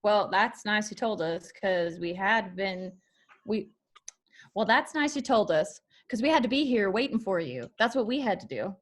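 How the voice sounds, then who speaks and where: Woman playing a wounded, sharp-tongued country singer. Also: medium pitch, lively intonation, normal speed, close to an everyday voice. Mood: angry, hurt. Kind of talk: screenplay table read.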